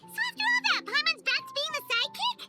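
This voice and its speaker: A woman voicing a tiny, childlike, excitable fairy sidekick in a video game, with a squeaky voice and exclamatory manner. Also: fast, faint music behind, exaggerated voice. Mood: curious, surprised. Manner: speaks of self in third person, exclamatory. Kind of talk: animated game cutscene voice acting.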